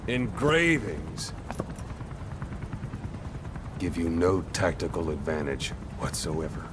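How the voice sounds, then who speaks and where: A stern, animated mercenary instructor. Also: male voice, low voice, slow pace, noisy background, heavily acted. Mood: stern, rallying. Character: gruff, commanding male mercenary soldier and drill instructor. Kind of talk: video game cutscene acting.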